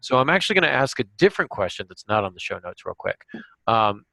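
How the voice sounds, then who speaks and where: A man's voice: on the low side, sing-song, quick pace, creaky, pushed and bright, dry recording, rough recording. A man hosting a podcast in a casual, informal way with some self-deprecating asides. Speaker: podcast host.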